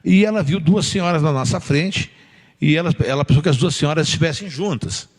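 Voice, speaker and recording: A middle-aged man with a Brazilian accent and a fairly high voice preaches in a loose, conversational style. He is jocular and punctuates his points with short tag questions. The sound carries the long reverb of a large hall. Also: a little fast. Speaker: pastor preaching. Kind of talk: informal sermon with anecdotes. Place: church building, preaching to a congregation.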